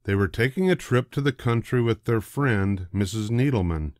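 An older man narrating a children's storybook in a deliberate, expressive way. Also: lowish voice, dry recording, studio quality. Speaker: storybook reader.

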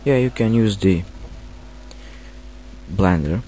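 A man with a Romanian accent, not a native English speaker, halting through a screen-recorded software tutorial with many fillers. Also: on the low side, slight background noise, poor audio. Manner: hesitant, halting, filler-heavy. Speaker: tutorial maker.

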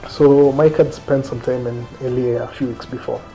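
A man's voice: medium pitch, steady tone, normal speed, gravelly, mellow, highs cut off. A man in his thirties with a Kenyan accent, telling his own story conversationally in a seated interview indoors. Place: small quiet indoor room, seated. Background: faint music.

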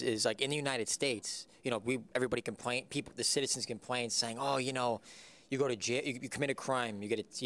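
A man's voice: medium pitch, a bit bright. A man in the audience making a casual, rambling comment peppered with "you know" fillers. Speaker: audience member.

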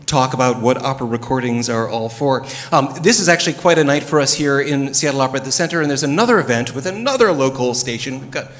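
A middle-aged man moderates a panel on a theater stage, speaking into a handheld mic. His talk is chatty and full of ums and restarts. His voice sits at a mid pitch, and a long hall reverb hangs after it. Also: fast, fairly bright tone. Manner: chatty, many fillers and restarts. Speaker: moderator, opera dramaturg.

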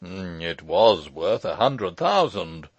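A man's voice: lowish voice, big pitch swings, unhurried, no echo, highs cut off, heavily acted. A man voicing a pompous, fussy old uncle in an audiobook story, speaking in a formal, long-winded and pedantic way. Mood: bitter, pedantic.

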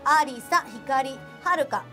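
A young adult woman presenting products in a lively, chatty way on a lapel mic. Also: sits high, unhurried. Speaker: show presenter. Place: studio with virtual backdrop, indoors. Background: loud music.

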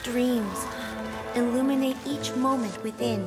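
A gentle, wistful female spirit played by an actress speaks in a video game cutscene. Her voice sits fairly high and moves in solemn, measured, tender phrases. Loud music plays behind her. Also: natural voice.

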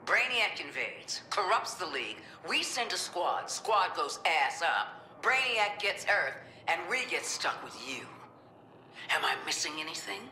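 An actress playing a stern, ruthless government commander in a video game, blunt, contemptuous and commanding. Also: female voice, on the low side, sing-song, a little slow, sharp tone, echoey room, slight background noise, full character voice. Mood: blunt, impatient. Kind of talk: dramatic video game voice acting.

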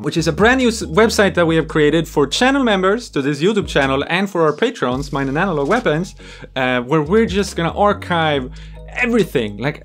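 Animated, high-pitched man chatting casually. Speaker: music gear video creator, host. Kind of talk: casual demo vlog. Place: small home studio room full of instruments. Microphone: desk microphone on a boom arm. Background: synth music.

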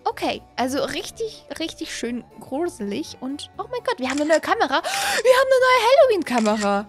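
A woman in her twenties giving casual, excited reaction commentary as a gaming host, exclaiming and repeating herself into a close desk mic. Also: high voice, brisk, music in the background. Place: small home streaming room, indoors. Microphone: desk condenser microphone, close.

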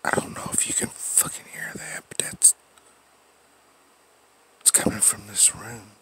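Hushed, swearing man in a dark basement. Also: fairly low pitch, level tone, a little slow. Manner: hushed, swearing, whispering at times. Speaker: vlogger. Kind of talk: ghost-hunting vlog. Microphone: handheld phone camera.

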